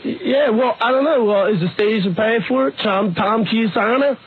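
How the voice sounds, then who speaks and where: A man who is a studio guest on a radio show, answering in slow, simple, indignant replies. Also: sits high. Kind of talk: radio call-in banter. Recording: dull phone-like radio line with hiss.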